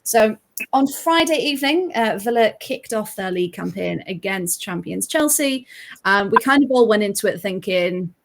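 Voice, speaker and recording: Upbeat woman podcast host, casual chat, quick to laugh. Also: medium pitch, lively intonation, vocal fry. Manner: upbeat, laughs often, frequent ums.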